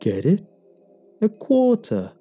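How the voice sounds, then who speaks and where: A man's voice: mid-range voice, sing-song, mellow, breathy edge, soft background music, voice pushed up, exaggerated voice. A man doing a smug punning talking moon. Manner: smug, drawn-out joking delivery.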